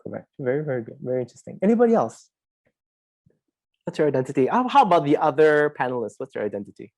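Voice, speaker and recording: A man's voice: on the high side, sing-song, fast talker, darkish tone, dry recording. A man with a Malaysian accent giving an informal, conversational webinar presentation, prompting his listeners as he speaks.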